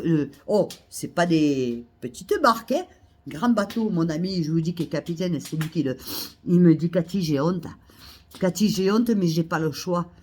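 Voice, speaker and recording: A woman with a head cold delivers an indignant monologue straight to her followers. She circles back over her points, stumbles into restarts, and her voice climbs into loud, exasperated outbursts. The pitch sits in a middle register. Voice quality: hesitant restarts and fillers. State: has a cold, coughing. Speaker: vlogger. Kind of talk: ranting monologue to camera. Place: Almeria, Spain.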